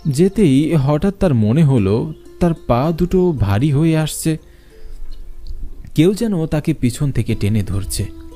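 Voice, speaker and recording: A man's voice: a little high, animated, slow pace, pauses often, warm tone, soft background music. A man narrating a scripted horror story.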